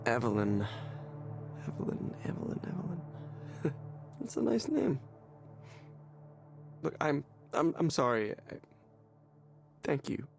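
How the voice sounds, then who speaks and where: An anxious, desperate counseling client in a visual novel game. His voice sits high and swings widely in pitch, with a creaky edge. He stammers and pleads. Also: sing-song, takes it slowly, pauses often, soft background music, exaggerated voice. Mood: sheepish, grateful. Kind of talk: naturalistic visual novel voice acting.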